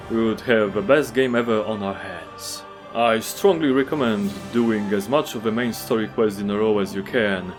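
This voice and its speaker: Polish-accented guy reading his game review, earnest w/ dry little asides, bit low. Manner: earnest, measured delivery with wry asides. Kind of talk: scripted review narration.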